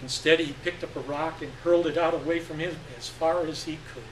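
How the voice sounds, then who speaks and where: A man giving an expressive, deliberate dramatic reading of literary fiction on stage. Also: a little high. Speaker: story reader. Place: live stage reading event. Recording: echoey room, distant mic, faint noise.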